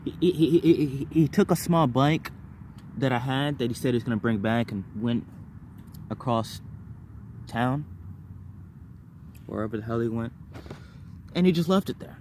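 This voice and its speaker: A man's voice: medium pitch, moderate pace, pauses often, darkish tone, a little hiss or hum. A man telling personal stories casually to viewers, rambling and repeating words and phrases in bursts. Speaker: vlogger.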